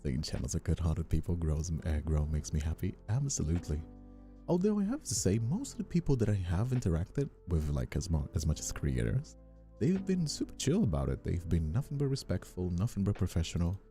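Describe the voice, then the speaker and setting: A man with a very low, velvety dark voice talks off the cuff as a streamer. His pitch moves around a lot as he rambles through his thoughts for viewers, with soft music behind him. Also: wildly swooping pitch, a little fast. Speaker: streamer and ASMR voice actor. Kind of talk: casual livestream chat answering viewers.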